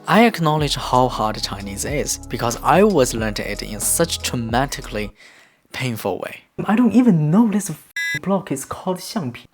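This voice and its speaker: Lively young man teaching. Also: mid-range voice, a little slow, faint music behind. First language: Korean. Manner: lively, explains step by step. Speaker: language teacher. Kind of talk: explanatory video lesson.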